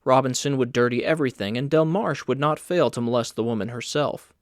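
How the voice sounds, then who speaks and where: A man's voice: medium pitch, fairly quick, no room sound, clean studio sound. A man reading scripted literary narration as an audiobook narrator.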